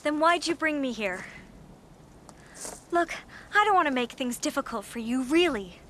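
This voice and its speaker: Guarded american daughter in a radio play, kinda high voice, natural delivery, bit of background noise. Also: female voice, expressive, moderate pace, bright, forceful tone. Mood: defensive, hurt. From United States. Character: guarded, grieving American daughter visiting father's hometown. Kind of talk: naturalistic radio drama acting.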